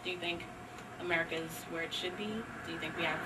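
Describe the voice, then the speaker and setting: A woman's voice: fairly low pitch, level tone, quick pace, heavy background noise. A woman presenting and reading poetry to a small audience at a live library event. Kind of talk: dramatic poetry recital and informal audience discussion. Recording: distant live room recording.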